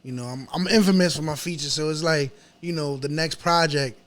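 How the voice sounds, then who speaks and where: A man's voice: on the high side, brisk, fairly bright tone. A man with a New York accent speaking informally and with slang as a guest in a casual interview. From New York City. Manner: informal, slangy, repeats himself. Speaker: rapper, podcast guest.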